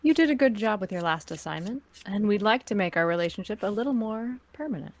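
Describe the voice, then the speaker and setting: Female syndicate spymaster, played by a woman: medium pitch, controlled, superior, threatening under polite words, sci-fi drama. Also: animated, fast, lightly acted. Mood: cool, knowing. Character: cool, menacing crime syndicate spymaster, a woman in command. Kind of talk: script table read of sci-fi fan drama.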